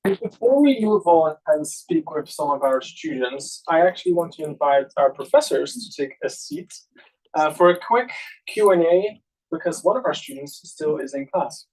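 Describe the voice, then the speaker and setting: Female event host, lower register, raspy edge, casual unscripted delivery. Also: animated, normal speed, darkish tone, poor audio. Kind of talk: informal live hosting.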